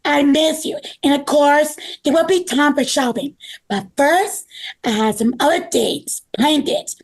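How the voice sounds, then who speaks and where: Lady playing friendly organised guide in a kids story, high voice, takes her time. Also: a little slow. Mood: cheerful. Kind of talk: table read of a children's story.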